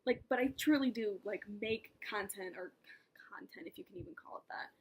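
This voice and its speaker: A woman's voice: medium pitch, fairly quick. A young woman talking casually to camera in a vlog, rambling and self-mocking, with laughs and whispered asides. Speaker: vlogger.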